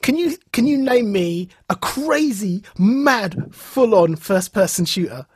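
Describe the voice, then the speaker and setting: Husky man chatting, close and dry. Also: high-pitched, slow pace, dry recording. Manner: animated storyteller, repeats phrases for emphasis. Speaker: podcast co-host. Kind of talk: casual chat recounting and reviewing a show.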